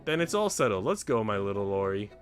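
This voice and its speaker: A man's voice: lowish voice, sing-song, fast talker, pitched below the performer's own voice. A man voicing an aloof older woman in a visual novel, mischievous, playful and dramatic, with music behind.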